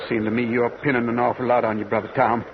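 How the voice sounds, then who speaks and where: An actor playing an anxious, grumbling American convict sidekick in a vintage radio drama, rough and folksy. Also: male voice, mid-range voice, level tone, quick pace, natural voice. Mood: worried. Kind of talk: 1950s radio drama acting. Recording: muffled, noisy vintage radio recording.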